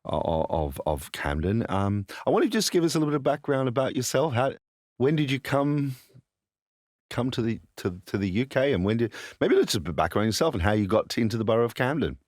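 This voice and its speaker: A man from Australia hosting a studio podcast, asking questions in a halting way that stumbles over words. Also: medium pitch, big pitch swings, a little mellow, dry recording. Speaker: podcast host. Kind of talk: podcast interview.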